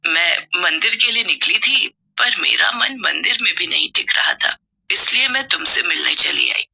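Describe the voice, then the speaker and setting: A caring elderly mother-in-law, voiced by a woman, gives motherly advice in a soft, lowered voice. The tone stays bright and clear. It suits a children's cartoon story. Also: expressive, normal speed, full character voice. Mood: gentle.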